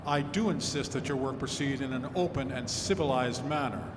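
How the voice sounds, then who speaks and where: A man's voice: medium pitch, natural voice. Man acting a formal clergyman in an echoey hall. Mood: firm, formal. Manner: formal, measured, articulate. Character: earnest, courteous clergyman investigator, firm but proper. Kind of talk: old-time radio drama acting. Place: live stage staged as a radio studio. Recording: echoey hall with clear background noise.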